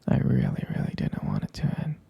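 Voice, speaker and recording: A man playing a devoted, smitten boyfriend in an intimate ASMR roleplay, speaking in a breathy, husky whisper, hesitant and tenderly repeating his phrases. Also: a little fast, mellow, natural voice. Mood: tender, wistful.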